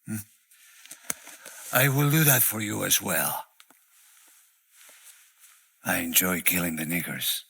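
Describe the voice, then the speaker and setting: Low, menacing drug trafficker. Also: male voice, big pitch swings, vocal fry, sharp tone, breathy edge, full character voice. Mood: cold, menacing. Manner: formal, deliberate, menacing. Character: menacing, gloating foreign male heroin trafficker, coldly formal. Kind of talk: dramatic game cutscene dialogue.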